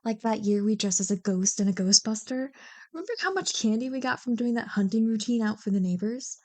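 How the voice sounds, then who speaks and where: A woman playing a playful, teasing young childhood friend who loves Halloween, in an animated, bantering ASMR roleplay. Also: on the high side, brisk, natural voice. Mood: enthusiastic, teasing. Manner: animated, bantering, mock-exasperated. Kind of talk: ASMR roleplay, one-sided scripted conversation.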